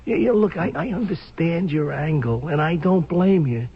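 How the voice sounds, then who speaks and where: A man's voice: a little high, expressive, normal speed, husky, full character voice. A man playing a desperate small-time safecracker in a radio drama, stammering and pleading in streetwise slang. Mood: pleading, dejected. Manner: stammering, repetitive, wheedling pleas. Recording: dull lo-fi radio drama recording.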